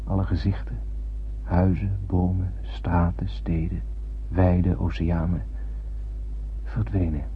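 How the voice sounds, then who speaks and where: An actor playing a brooding young spaceship crewman, narrating in a solemn, poetic and measured way in a radio drama. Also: low-pitched, level tone. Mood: solemn, lonely. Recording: muffled, hissy old radio drama recording.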